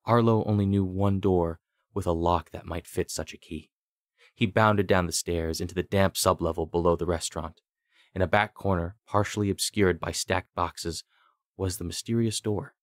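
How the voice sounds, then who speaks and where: A man narrating a scripted fantasy audiobook with deliberate, dramatic storytelling. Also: low voice, steady tone, dry recording, studio quality.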